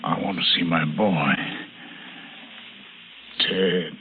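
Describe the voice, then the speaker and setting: Faint, low-voiced ailing old father. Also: steady tone, a little hiss or hum. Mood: weak, pleading. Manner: halting, pleading. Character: gravely weak, loving, anxious old father. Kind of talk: old radio drama acting. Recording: muffled, narrow vintage radio recording.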